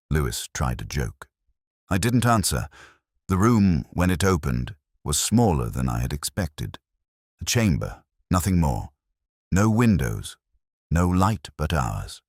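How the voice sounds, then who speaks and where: A man plays a world-weary detective in an audio drama, recalling a case in measured, literary prose. His voice is extremely low and husky. He sounds solemn and reflective, with pitch that rises and falls freely. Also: no room sound, studio quality, full character voice. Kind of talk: literary first-person scripted narration.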